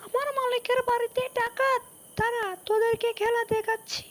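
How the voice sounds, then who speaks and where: A man's voice: very high-pitched, little pitch movement, a little fast, fairly bright tone. A man voicing a feisty, loyal little guard animal in a comic cartoon, indignant and urgent. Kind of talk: comic cartoon dubbing in Bengali.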